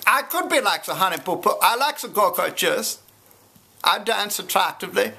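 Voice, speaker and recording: A woman doing a haughty German man, creaky voice. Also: medium pitch, animated, normal speed, bright, forceful tone. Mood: indignant. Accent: put-on German. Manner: indignant, haughty complaining in broken English. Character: pompous German man with clumsy English. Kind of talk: improvised comedy sketch.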